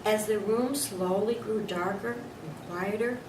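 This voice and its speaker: A woman's voice: medium pitch, a little slow, lightly acted. Woman as a chatty nervous little hamster, echoey recording. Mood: anxious. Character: small excitable classroom pet hamster, male, chatty and nervous. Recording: echoey room, distant mic, background noise.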